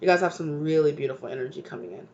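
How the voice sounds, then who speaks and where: A woman's voice: low voice, rapid-fire, a little mellow, muffled recording, a little hiss or hum. A woman with a stuffed-up voice from a cold, giving a channeled tarot reading to her viewers with affectionate asides and repeated "right?" tags. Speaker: tarot reader.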